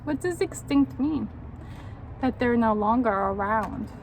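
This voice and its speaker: A woman reading a children's picture book aloud in an expressive, encouraging, teacherly way, pausing to put questions to young listeners. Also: on the high side, a little hiss or hum, poor audio.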